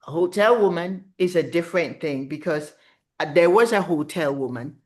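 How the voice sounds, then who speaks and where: A middle-aged woman, speaking as an author in a casual interview, animated and reflective as she tells stories about her writing. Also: lowish voice, normal speed.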